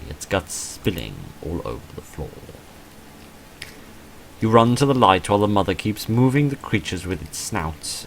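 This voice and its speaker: Deep-voiced man narrating a game. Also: a little hiss or hum, low-quality recording. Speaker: gaming video narrator reading a visual novel aloud. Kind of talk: reading game text aloud with casual commentary.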